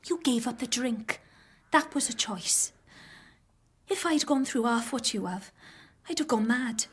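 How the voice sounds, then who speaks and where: Breathy, higher-voiced young wife. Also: female voice, expressive, unhurried. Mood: affectionate. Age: young adult. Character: warm, house-proud pregnant young doctor's wife, earnestly persuasive. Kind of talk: naturalistic radio drama acting.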